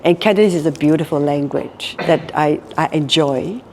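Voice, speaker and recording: A woman's voice: low-pitched, normal speed, darkish tone, long reverb tail, slight background noise. A Singaporean woman in her later years, speaking reflectively in an interview and often repeating words as she thinks. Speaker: guest, hotel group co-founder. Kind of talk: casual interview talk.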